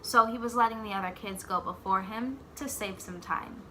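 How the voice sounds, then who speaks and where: Bright-voiced woman reading aloud to children. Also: mid-range voice, slight background noise. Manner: pauses to ask simple questions and echo the answers. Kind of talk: expressive picture-book read-aloud with questions to children.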